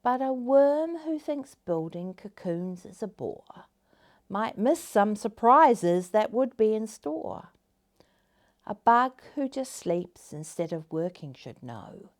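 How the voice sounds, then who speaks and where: A woman reading a children's story aloud in an expressive, dramatic manner, dropping into hushed tones for effect. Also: mid-range voice, slow pace.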